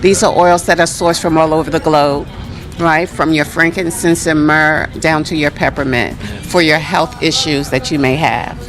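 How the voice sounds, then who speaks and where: A woman exhibitor giving an informal product pitch during an interview. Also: fairly even intonation, a little slow, over music.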